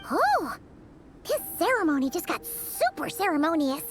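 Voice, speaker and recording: A woman voicing a tiny childlike game companion, cheerful and cheeky, with a squeaky voice and perky delivery over music. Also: huge pitch swings, moderate pace, exaggerated voice. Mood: curious, cheerful. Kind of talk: video game voice acting. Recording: loud music behind the voice.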